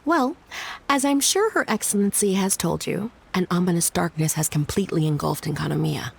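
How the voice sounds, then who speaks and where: A woman playing a wry but dutiful shrine subordinate in a video game, creaky-voiced, composed and articulate. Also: fairly low pitch, lively intonation, fairly quick, heavily acted. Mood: serious, reporting. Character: adult female shrine subordinate, wry, teasing yet dutiful.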